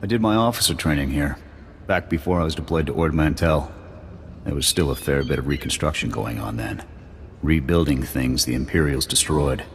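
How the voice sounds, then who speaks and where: An actor playing a seasoned, blunt army sergeant in a video game, with a deep voice and a gruff military manner. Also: male voice, moderate pace. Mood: reflective. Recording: long reverb with faint noise.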